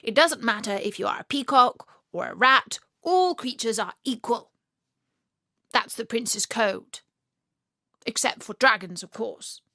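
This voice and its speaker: Woman playing a proud princely frog, raised pitch. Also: expressive, measured pace, bright, forceful tone, no room sound, studio quality, full character voice. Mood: proud, earnest. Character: noble, self-important male frog, former prince. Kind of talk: children's storybook character voice.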